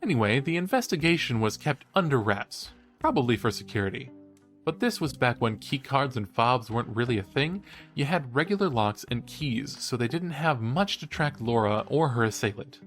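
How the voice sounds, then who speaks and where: An actor plays a young male college student hosting a true-crime podcast in an audio drama. He is cheeky and enthusiastic, with an animated, mid-pitched delivery. Faint music runs underneath. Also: normal speed, close to an everyday voice. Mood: playful, wry. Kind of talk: conversational audio drama acting as podcast host.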